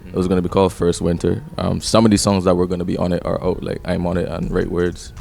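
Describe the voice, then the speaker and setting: A man's voice: low-pitched, monotone, quick pace, gravelly, darkish tone. A young man, a rapper talking casually and reflectively as a podcast guest. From Barbados. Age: 20s. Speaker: rapper and songwriter interviewed as guest.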